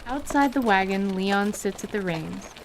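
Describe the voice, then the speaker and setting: A woman narrates a fantasy tale in scripted storytelling style. Her pitch sits in the middle range, and there is clear noise behind the voice. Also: expressive, a little slow, low-quality recording.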